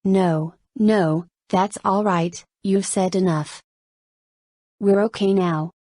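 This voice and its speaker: A woman's voice: medium pitch, steady tone, slow, darkish tone. A woman playing an unsettled girlfriend in an audio play, firm and uneasy.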